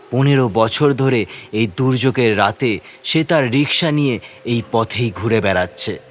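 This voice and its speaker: A man's voice: mid-range voice, a little hiss or hum. A man narrating a scripted horror story in the first person, with a suspenseful, theatrical delivery.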